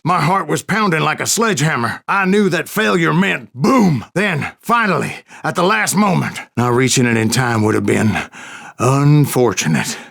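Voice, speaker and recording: An actor playing a grizzled old gunslinger in a Western video game, spinning wry, folksy tales in a gravelly American Western accent. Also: male voice, a little high, fairly bright tone, full character voice. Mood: excited, tense. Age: older. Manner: folksy, wry, salty-tongued raconteur.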